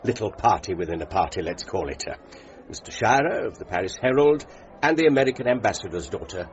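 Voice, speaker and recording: An actor playing a genteel British ambassador with an upper-class English accent, polite and understated, in a radio drama. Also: male voice, lowish voice, lively intonation, fast talker, highs cut off, natural voice. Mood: polite, amused. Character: genteel, urbane British ambassador, wry and frank.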